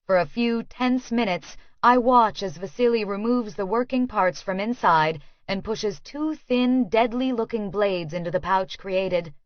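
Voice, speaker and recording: A woman's voice: medium pitch, a little slow, hardly any pauses, no echo, lo-fi sound. A woman reading a scripted first-person novel as an audiobook narrator.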